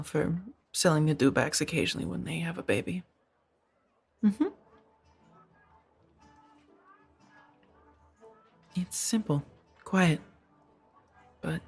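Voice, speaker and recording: A woman acting in a soft-spoken ASMR roleplay as a kind, conflicted former warrior monk turned farmer, hesitant and earnest. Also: sits low, slightly rough, heavily acted. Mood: gentle, shy.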